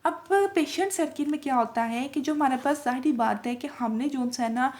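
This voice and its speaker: High-voiced woman giving a lecture. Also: normal speed, a little mellow. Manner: repetitive, with frequent check-in tags; switches between Urdu-Hindi and English technical terms. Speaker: lecturer in physiotherapy video lessons. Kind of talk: informal explanatory lecture.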